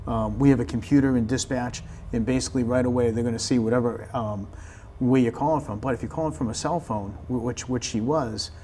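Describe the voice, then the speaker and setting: An American man gives conversational explanations as an interview guest. His voice is mellow and soft-edged at a medium pitch, and he tends to repeat words as he begins a sentence. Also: fairly even intonation, fairly quick, slight background noise. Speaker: fire department staff member, interview guest.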